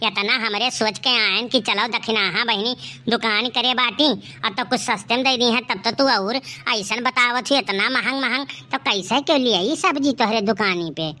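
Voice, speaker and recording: Woman voicing a bright-voiced, haggling village housewife. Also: fairly high pitch, moderate pace. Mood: coaxing. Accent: Awadhi. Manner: complaining, bickering. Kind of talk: cartoon comedy dialogue.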